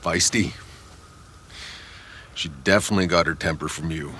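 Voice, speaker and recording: An actor playing a gruff, sardonic monster hunter in a video game, terse and deadpan, with a gravelly voice. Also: male voice, deep voice, moderate pace. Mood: wry, curious. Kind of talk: video game cutscene acting. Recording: clear rain and ambient noise behind.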